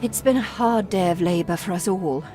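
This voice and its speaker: A woman playing a proud, sharp-tongued, brisk young gentlewoman in a video game, speaking in a crisp, formal manner. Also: on the low side, over music, lightly acted. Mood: determined, wistful. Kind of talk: video game character dialogue.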